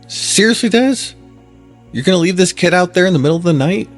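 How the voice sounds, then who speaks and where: An actor playing a practical, reluctant but loyal small-town friend in an audio drama, plain-spoken and skeptical. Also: male voice, high voice, animated, brisk, soft background music. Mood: disbelieving.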